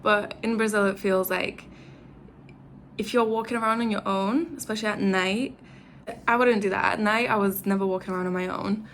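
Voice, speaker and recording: Young english woman vlogging from her flat, chatty, bit of vocal fry, faint hiss behind. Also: medium pitch, moderate pace. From England. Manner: chatty, lots of "like" and "um", laughs often. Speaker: travel vlogger. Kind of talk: casual chat to camera. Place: studio flat.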